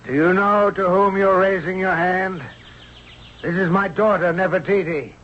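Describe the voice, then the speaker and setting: An actor playing a stern, imperious prime minister in a radio drama, formal and commanding. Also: male voice, high-pitched, fairly even intonation, normal speed. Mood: stern, menacing. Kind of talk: old-time radio drama acting. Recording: muffled radio recording.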